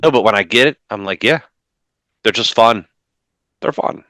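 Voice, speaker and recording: A man in his thirties co-hosting a comics podcast from home over a video call, talking in an animated way with many restarts. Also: on the low side, dry recording, clean studio sound. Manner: animated, talks fast with frequent restarts. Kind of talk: casual chat.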